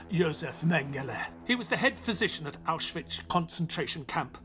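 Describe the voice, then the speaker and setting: Earnest gentleman sidekick, lighter-pitched and very expressive, telling a detective radio play over music. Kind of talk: audio drama narration and dialogue.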